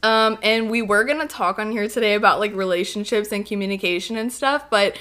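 Young woman host with a bright, clear voice, easygoing and playful. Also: mid-range voice, brisk. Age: 20s. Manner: relaxed, playful. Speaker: podcast host. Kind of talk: casual chat.

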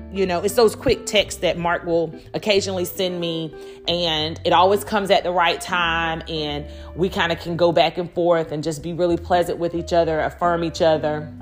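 Low-pitched woman, chatty podcast host. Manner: chatty, animated storytelling with quoted asides. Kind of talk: conversational solo podcast monologue.

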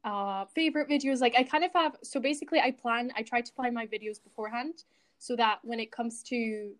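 A woman's voice: on the high side, brisk. Young woman with a shifting Scottish-American accent. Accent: Scottish mixed with American. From Spain and Scotland. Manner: chatty, informal. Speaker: podcast guest, video creator. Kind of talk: casual chat.

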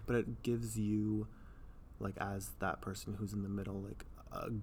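A man's voice: lowish voice, little pitch movement, measured pace, a little mellow, slightly rough. A young man hosting a podcast, chatting loosely and jokingly, with a lot of "like" and other fillers. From Canada. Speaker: podcast host. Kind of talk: casual podcast chat.

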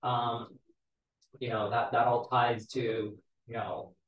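A man, telling his life story in a relaxed way on a podcast. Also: medium pitch, slow pace. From Israel. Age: 40s. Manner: relaxed, storytelling, many "uh" fillers. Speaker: guest. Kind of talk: casual podcast interview. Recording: roomy, distant mic with faint noise.

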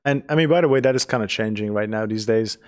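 Earnest Iranian-born man, podcast host. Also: on the low side. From Iran. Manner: earnest, pauses and restarts often. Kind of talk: casual podcast conversation.

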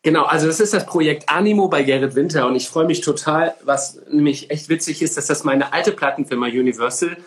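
Man, higher-pitched voice, enthusiastic, talkative, casual interview guest. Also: brisk, few pauses. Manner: enthusiastic, talkative, with many fillers. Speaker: singer and coach, interview guest.

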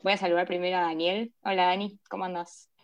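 A woman's voice: fairly low pitch, little pitch movement, quick pace, highs cut off. A woman hosts a podcast. She talks casually, introducing the show and greeting the people on it. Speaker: podcast host.

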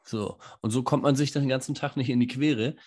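A man co-hosting a podcast from his home kitchen, hoarse and scratchy from a cold, chatting casually with dry, teasing humor. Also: medium pitch, steady tone, brisk, darkish tone. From Northern Germany. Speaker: podcast co-host. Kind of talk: casual chat. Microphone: home mic in a kitchen.

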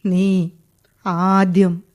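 Radio play: strict old lady, very slow drawl, soft dark tone, bossing a thief around. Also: fairly low pitch, little pitch movement. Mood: stern. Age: older. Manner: slow, commanding and scolding. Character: stern elderly woman catching a burglar. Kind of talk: 1980s-style radio play acting.

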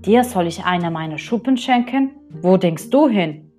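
A woman voicing a proud, vain fish in a storybook, in a high, bright voice, soft music behind. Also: slow pace. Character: proud beautiful fish, vain then kind.

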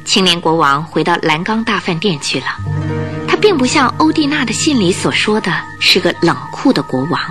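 A woman's voice: on the low side, sing-song, moderate pace, over music. A woman reading scripted narration for a Mandarin radio drama.

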